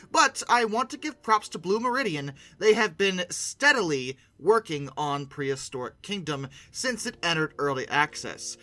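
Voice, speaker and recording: A man's voice: sits high, pushed and bright. A man hosting a conversational video essay with playful, theatrical emphasis. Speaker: video host, game reviewer.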